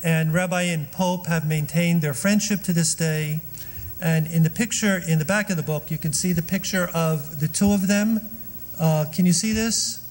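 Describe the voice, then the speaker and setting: A man's voice: fairly high pitch, steady tone, moderate pace. A man introducing a guest speaker at a synagogue event, chatty and joking, with many fillers. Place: synagogue hall. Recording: long hall reverb.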